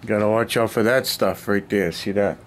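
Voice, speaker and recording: A man with a deep, low voice talks loosely to himself as he works, trailing off into fillers. The sound comes from a camera near his hands in a cluttered basement room, with a faint hum of room noise behind him. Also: fairly even intonation, moderate pace. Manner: rambling, thinking aloud with fillers. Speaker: miniature painting hobbyist. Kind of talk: casual hobby chat while painting.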